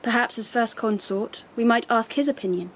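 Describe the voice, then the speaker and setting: An actress playing a haughty, icy young empress in a radio drama, imperious, formal and measured in her disdain. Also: fairly high pitch, a little hiss or hum, natural voice. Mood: haughty, probing.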